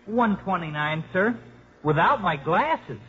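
An actor playing an eager, respectful, scrawny young football captain in a 1950s radio drama. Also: male voice, high voice, lively intonation, takes it slowly. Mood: eager, earnest. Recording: muffled old radio recording, crowd behind.

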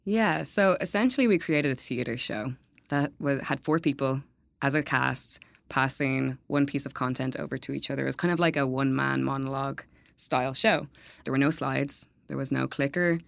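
A woman speaking as a guest on a podcast, answering in a relaxed conversational way with light laughs and frequent fillers. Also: extremely low pitch, normal speed, creaky, no echo. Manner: laughs lightly, many ums and kind-ofs. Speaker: events manager, podcast guest. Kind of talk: casual interview answers.

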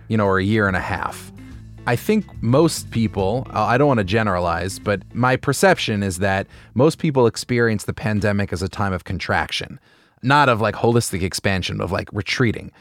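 A man's voice: fairly low pitch, expressive, normal speed, faint music behind. A man hosting a podcast who asks questions casually and hedges his words. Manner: hedging, casual, many fillers like "like". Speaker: podcast host.

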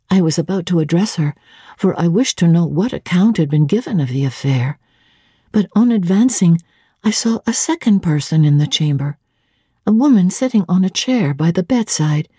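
Low, mellow woman giving a dramatic reading. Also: breathy edge, dry recording, studio quality. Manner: expressive, deliberate, theatrical. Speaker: audiobook narrator. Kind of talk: dramatic reading of classic literature.

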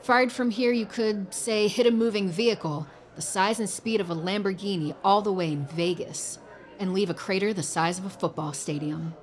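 A woman playing a brisk, technically minded government agent in an audio drama, speaking matter-of-factly. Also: mid-range voice, natural voice. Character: brisk, matter-of-fact female tech-savvy agent Rainey.

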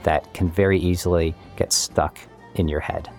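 Male composer, interview style. Deep voice, thoughtful and explanatory, clear musical bed underneath. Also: fairly even intonation, moderate pace, over loud music. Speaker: composer and musician interviewed about his work. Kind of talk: reflective interview talk.

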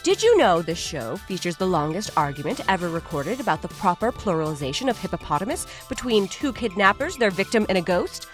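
A woman hosting a podcast with a scripted comedic promo, playful and theatrical with deadpan asides. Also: fairly low pitch, a little fast, few pauses. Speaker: podcast host. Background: faint music.

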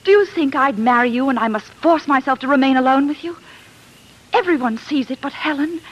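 An actress in a 1940s radio drama playing a proud, fearful eighteenth-century London fiancee, formal and melodramatic. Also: female voice, high-pitched, a little fast, natural voice. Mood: fearful, indignant. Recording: dull 1940s radio recording.

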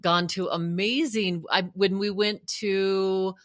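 A woman's voice: medium pitch, fairly even intonation, slow pace, a bit bright, no echo, studio quality. Upbeat woman hosting a podcast. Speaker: podcast host. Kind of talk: enthusiastic interview chat.

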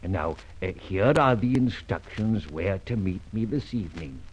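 An actor playing a suave, mocking masked gentleman adventurer in an old radio serial, polished and drily ironic, with courteous menace. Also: deep voice, lively intonation, moderate pace, slightly rough. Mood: smooth menace. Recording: muffled old radio drama recording.

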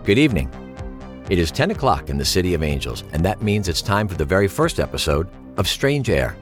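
A very low male voice hosts a late-night paranormal call-in show in a radio drama. He is earnest and courteous, guiding listeners with measured, solemn phrasing that rises and falls expressively, over faint music. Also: very animated. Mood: inviting, mysterious.

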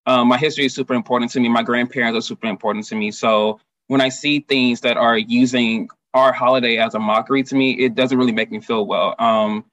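A man's voice: medium pitch, steady tone, brisk, a bit bright, no echo. Young guy from houston talking about himself on a panel, dry and kinda muffled audio. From Houston, Texas. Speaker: panel guest, marketing manager. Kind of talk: personal account in a panel discussion. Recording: dull, slightly lo-fi sound.

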